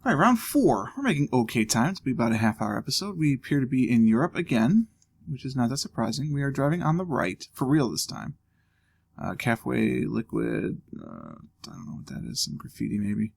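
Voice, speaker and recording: Man, gravelly voice, lively pitch, casual game commentary, thinking aloud. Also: fairly quick. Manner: thinking aloud, musing and joking. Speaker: let's play host.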